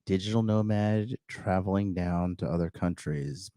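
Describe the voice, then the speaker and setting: A man's voice: low-pitched, normal speed, warm tone, no echo, clean studio sound. A man hosting a podcast, making sarcastic, crude comments as he reacts to a dating video. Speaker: podcast host reacting to a clip. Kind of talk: mocking commentary.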